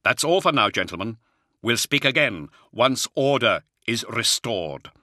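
A man performs a commanding warrior leader from an audiobook. The voice is forceful and swings expressively, with grim, curt authority in every order. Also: medium pitch, animated, measured pace, dry recording, studio quality, voice pushed up. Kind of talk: audiobook character acting.

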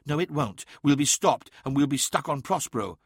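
A man's voice: on the high side, animated, normal speed, husky, dry recording, clean studio sound. A man voicing an anxious, pessimistic woman in an audiobook, blunt and worried.

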